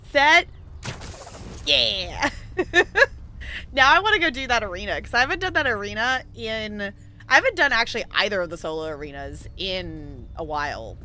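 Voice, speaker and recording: Chatty woman streamer gushing about a game, bright voice, bouncy pitch, music low behind. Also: slight background noise. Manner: chatty and bubbly, quick to gush. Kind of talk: casual gaming chat.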